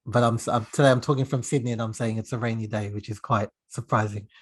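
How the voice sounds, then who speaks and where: A man hosting an interview podcast, speaking over a remote call in a steady conversational way. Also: medium pitch, fast, husky. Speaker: podcast host.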